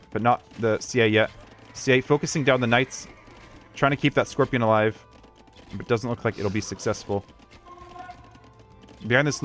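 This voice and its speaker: A man with a slightly low voice casts a competitive game live in a casual style. He thinks aloud in an analytical, tentative way, and there is music behind him. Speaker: esports match commentator.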